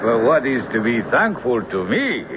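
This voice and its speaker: A man's voice: mid-range voice, very animated, moderate pace, lightly acted. An actor playing a genial, easygoing fisherman with a Portuguese accent in an old-time radio drama. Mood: genial, teasing. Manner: genial, foreign-tinged, unidiomatic phrasing. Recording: muffled, noisy vintage radio recording.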